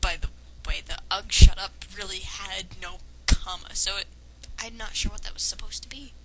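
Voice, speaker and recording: A woman's voice: medium pitch, steady tone, normal speed, bright, forceful tone. A woman reading a story aloud and breaking in with casual, sarcastic, nitpicking commentary, on an amateur recording. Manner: sarcastic, nitpicking, hesitant in asides. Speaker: amateur reader and commentator of fan fiction. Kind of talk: dramatic reading of a story with casual mocking asides. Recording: clearly noisy amateur home recording.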